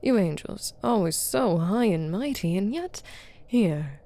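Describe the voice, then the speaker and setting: Haughty female demon buyer , voiced by a woman, ASMR roleplay. Mellow, dark tone, slow delivery, commanding and disdainful. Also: medium pitch, animated. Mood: condescending, gloating. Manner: stern, authoritative, condescending. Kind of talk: scripted ASMR roleplay, addressing unheard partners.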